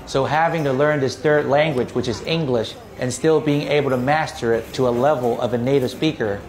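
A man whose first language is Cantonese delivers a short voice-over lesson. He enunciates every word clearly and crisply, at a middle pitch. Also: fairly even intonation, fairly quick, poor audio. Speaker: English teacher. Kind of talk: polished voice-over clip.

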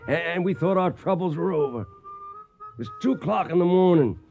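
A man's voice: high-pitched, lively intonation, normal speed, vocal fry, phone-quality sound, faint music behind. An actor playing a former cowhand reminiscing in folksy style as he narrates a Western radio drama. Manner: folksy storytelling. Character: reminiscing folksy male former cowhand telling his tale.

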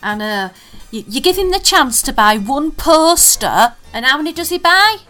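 A woman's voice: high voice, lively intonation, moderate pace, pushed and bright, faint music behind. A woman co-hosting a casual podcast chat, teasing her co-host and reading out listener messages. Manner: chatty, teasing, reads listener tweets aloud.

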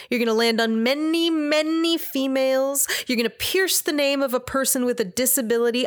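A woman's voice: fairly high pitch, a bit bright, natural voice. A woman playing a blunt, sardonic speaker who lectures state legislators in a stage monologue. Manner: blunt, sardonic, full of baseball metaphors.

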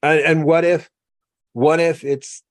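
A man's voice: fairly high pitch, little pitch movement, takes it slowly, no echo, clean studio sound. A man hosting an interview, musing aloud in a reflective, slightly rambling way. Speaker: podcast host. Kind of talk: casual interview conversation.

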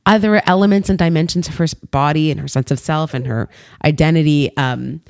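A young woman host with a low voice chats enthusiastically and peppers her questions with fillers. Her voice sounds close and dry. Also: fairly quick, vocal fry, darkish tone, no room sound. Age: young adult. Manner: chatty, enthusiastic, filler-heavy. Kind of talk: casual interview chat.

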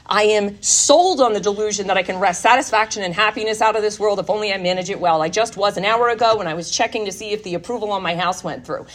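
A woman speaking candidly and emphatically at a recovery workshop, giving a personal testimony talk to an audience. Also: mid-range voice.

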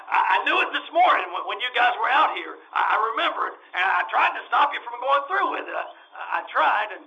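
A desperate old-time radio dreamer, a washed-up circus promoter acted out by a man, pitched high and gravelly. He stammers and halts, then hammers his words in a piercingly bright voice. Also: full character voice. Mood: dejected, pleading. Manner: stammering, halting, emphatic. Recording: dull lo-fi audio.

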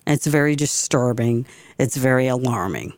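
A woman's voice: rumbling low, expressive, moderate pace, a little mellow, no echo, clean studio sound. A woman hosting a community radio talk show, speaking with passion and repeating phrases for emphasis. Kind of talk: opinionated talk radio monologue.

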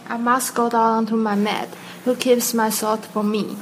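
A woman's voice: on the high side, flat delivery, a little slow, background noise, natural voice. A woman voicing a scheming false bride who dodges questions and bosses her maid. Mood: evasive. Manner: evasive, bossy toward her maid.

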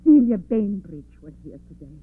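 An actress in a 1940s radio drama playing a guarded, anxious wife of a séance medium, with careful formal phrasing. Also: female voice, medium pitch, big pitch swings, normal speed, dry recording. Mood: accusing. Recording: tinny, noisy 1940s radio recording with hum.

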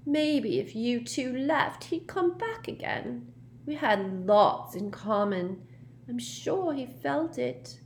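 A woman voicing the glum, easily wounded ghost of a teenage girl in an audiobook, sulky and plaintive with drawn-out words. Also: on the high side, lively intonation, a little hiss or hum, voice pushed up.

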